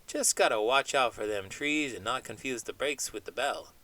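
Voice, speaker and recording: A man's voice: mid-range voice, moderate pace, sharp tone, dry recording, close to an everyday voice, poor audio. A man voicing a folksy, slangy chimpanzee from Minnesota, a chatty big dreamer bantering in a children's read-aloud. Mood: curious, playful. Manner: folksy, drawling slangy grammar with "them" and "ain't".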